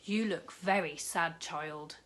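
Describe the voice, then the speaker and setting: A woman voicing a big, jolly, kindly male shopkeeper in a storybook reading, warm and fatherly. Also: lowish voice, slow.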